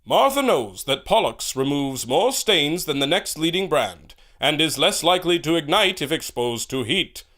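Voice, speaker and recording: A man's voice: fairly high pitch, very animated, moderate pace, hardly any pauses, fairly bright tone. Man playing a theatrical old-time radio announcer. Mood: theatrical, selling. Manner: dramatic, formal, salesman-like. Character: earnest old-time radio announcer reading adverts and bulletins. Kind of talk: 1930s radio serial announcing.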